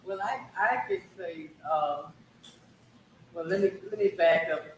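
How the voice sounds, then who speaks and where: A woman's voice: lowish voice, a little slow, a little hiss or hum. Reflective older woman from Mississippi, echoey. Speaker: interview guest, retired teacher. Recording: echoey room, mic at a distance.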